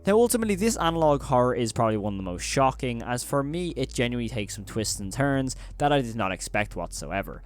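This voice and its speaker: A man speaks as the host of a horror commentary video. His voice sits at a middle pitch. He is emphatic and wordy, often circling back over his phrasing. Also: very animated, fast talker, hardly any pauses, a little hiss or hum.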